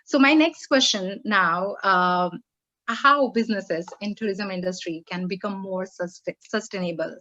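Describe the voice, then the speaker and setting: A woman moderating an online panel, speaking haltingly with many fillers as she sets up the next question. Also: mid-range voice, pushed and bright, muffled recording.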